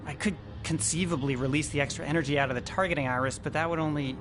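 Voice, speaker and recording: An actor playing an anxious, earnest spaceship survivor in a video game, with a dry conversational delivery. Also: male voice, a little high, fairly even intonation, fast talker, lightly acted. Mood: exasperated. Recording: game music behind the voice. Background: game background music.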